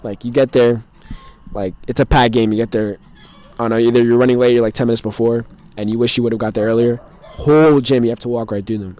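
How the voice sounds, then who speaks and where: A man's voice: mid-range voice, steady tone, fast talker, mellow. A man hosting a podcast in casual chat, teasing his guest, laughing between lines and often trailing off mid-thought. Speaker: podcast host.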